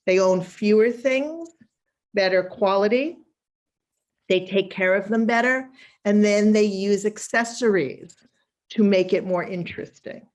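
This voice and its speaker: A woman's voice: mid-range voice, measured pace. A woman with a Boston accent, a wardrobe stylist talking casually in a live online session, chatty and emphatic, laughing easily. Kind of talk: casual live webinar talk.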